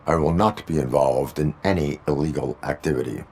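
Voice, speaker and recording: An actor playing a stoic cyborg police officer, dutiful and literal, with deadpan, clipped, formal delivery. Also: male voice, very deep voice, brisk. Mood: flat, businesslike. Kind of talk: video game dialogue acting.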